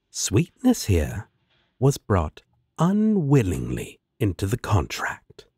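A man acts out a smug male demon in an audio roleplay, sardonic yet protective. He drawls slowly and teasingly, the pitch swooping widely, with a husky edge to the voice. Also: a little mellow, no echo, studio quality, heavily acted.

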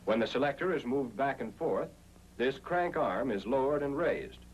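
A man's voice: medium pitch, lively intonation, normal speed. An American man narrating an instructional film in 1940s style, explaining things in a methodical, step-by-step way. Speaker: narrator of a military training film. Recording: noisy 1940s film soundtrack with hum.

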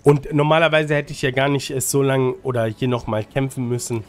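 A man's voice: medium pitch, flat delivery, brisk. Slightly congested man doing casual gameplay commentary. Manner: colloquial, peppered with English gaming slang and tag questions. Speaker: gaming guide creator and streamer. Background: music under the commentary.

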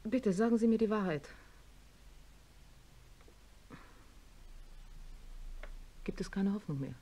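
A woman's voice: mid-range voice, expressive, quick pace, dark tone, lightly acted. An actress playing an industrialist's younger wife in a radio drama, composed, direct and ladylike. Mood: anxious. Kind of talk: German radio drama acting.

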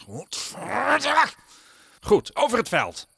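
A man's voice: high-pitched, wildly swooping pitch, takes it slowly, vocal fry, a bit bright, husky, no echo, studio quality, exaggerated voice. A man playing a pompous, easily offended rich suitor in an audio drama, haughty and stammering when affronted. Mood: impatient.

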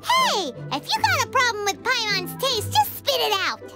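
A woman voicing a tiny, excitable fairy girl companion in an anime video game, squeaky, childlike and cutesy. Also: moderate pace, loud music behind the voice, exaggerated voice. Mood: indignant. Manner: talks of herself in third person, cutesy.

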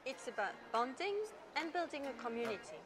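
A woman narrates a scripted promotional video in a high voice. Her intonation swings up and down in an upbeat way, with clear music behind her. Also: expressive, a little fast.

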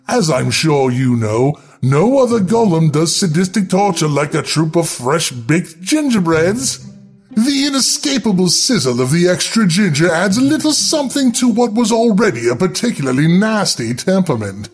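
A man's voice: high-pitched, big pitch swings, normal speed, slightly rough, soft background music. A man reading scripted, darkly humorous narration in a wry, theatrical instructional manner.

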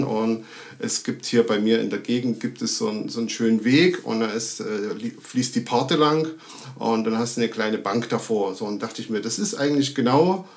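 A man in his thirties with a medium-pitched voice. He talks casually, as in a remote interview, and feels his way through sentences haltingly as he thinks aloud. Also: a little mellow. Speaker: sound recordist and film editor. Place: indoor room, video call. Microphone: video call.

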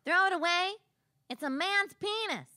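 A woman's voice: very high-pitched, normal speed, bright, forceful tone, no room sound, clean studio sound. A woman playing a cheeky, mercenary street kid working as a henchman in a comic radio play.

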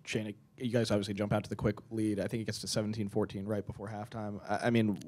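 A man's voice: on the low side, level tone, quick pace, a little mellow. A man working as a reporter, casually putting questions to a coach at a press conference.

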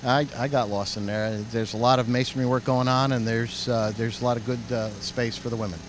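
Halting middle-aged male project manager. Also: mid-range voice, fairly even intonation, slight background noise. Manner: plain-spoken, halting, with many fillers. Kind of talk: casual on-site interview answers. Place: outdoors in an unfinished stadium under construction. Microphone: host's handheld microphone.

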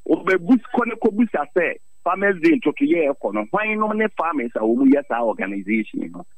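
A man's voice: a little high, sing-song, brisk, gravelly. A man with a Ghanaian accent speaking emphatically in a radio interview as a farmers' leader, airing grievances with pointed rhetorical questions. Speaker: farmers' association president, interviewee.

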